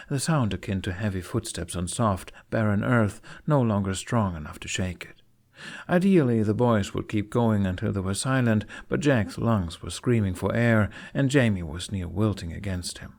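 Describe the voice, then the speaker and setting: A man's voice: fairly low pitch, expressive, normal speed, a little mellow. A man narrating a scripted fiction story expressively, as an audiobook reader. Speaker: narrator of a fan fiction podfic.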